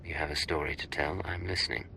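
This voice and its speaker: A composed male spy in a game cutscene. The voice is very low and strikingly sharp with a gravelly creak, measured and probing. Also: steady tone, slight background noise, heavily acted, low-quality recording. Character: composed, commanding male imperial secret agent. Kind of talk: video game cutscene dialogue.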